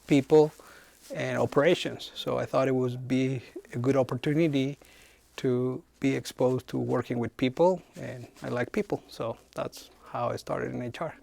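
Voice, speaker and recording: A middle-aged man from Chile, answering interview questions as a head of human resources and pausing often to find his words. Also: medium pitch, steady tone, creaky, darkish tone. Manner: thoughtful, frequent hesitations.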